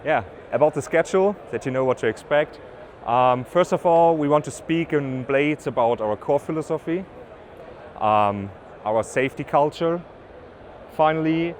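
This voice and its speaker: A man with a German accent giving a trade-fair presentation as a business development manager, with halting phrasing, fillers and restarts. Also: medium pitch, slight background noise. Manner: slightly halting non-native phrasing with ums and restarts. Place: exhibition stand at an offshore wind event.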